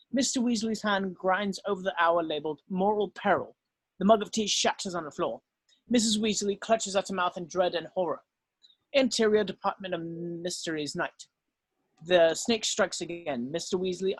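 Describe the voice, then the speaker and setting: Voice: medium pitch, no echo. A narrator reading screenplay stage directions as scripted narration, now and then stumbling over the text.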